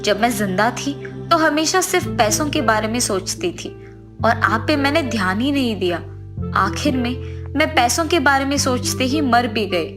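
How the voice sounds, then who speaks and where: A woman's voice: on the high side, animated, normal speed, music in the background, close to an everyday voice. A woman voicing the anxious, remorseful ghost of a dead wife in a cartoon story, earnest and pleading.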